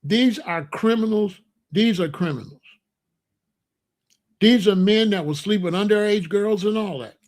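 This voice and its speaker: Older man, high-pitched voice, blunt, repetitive, opinionated. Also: unhurried, long pauses, darkish tone. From Chicago, USA. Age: 60s. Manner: emphatic, repetitive, blunt street talk. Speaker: commentator. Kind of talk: opinionated monologue.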